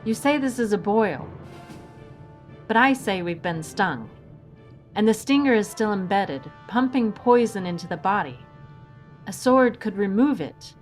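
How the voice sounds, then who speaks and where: A woman acts out a calm, principled heroine of an adventure audio drama. The mid-pitched voice is measured and exact, with a dry, pedantic edge. Soft music runs behind it. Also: lightly acted. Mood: earnest, persuasive. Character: composed, principled, pedantic heroine who reasons with villains.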